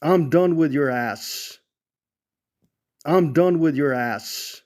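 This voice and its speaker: A man delivering short, isolated catchphrases in a deliberate way, as sound effect clips. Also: on the high side, darkish tone.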